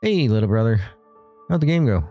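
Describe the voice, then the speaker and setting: An actor playing an older brother eager to leave home for college, talking loud and brash. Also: male voice, mid-range voice, very animated, a little fast, faint music behind.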